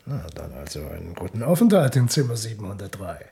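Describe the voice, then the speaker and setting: An actor playing a courteous, knowing hotel porter in a radio play, formal with a dry, pointed manner. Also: male voice, fairly low pitch, big pitch swings, fairly quick, gravelly, dark tone.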